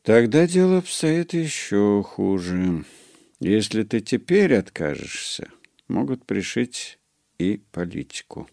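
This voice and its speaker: A man voicing a worldly legless war veteran who gives dry, conspiratorial warnings, in a slow, low, gravelly voice. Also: very animated, a little mellow, no echo, heavily acted.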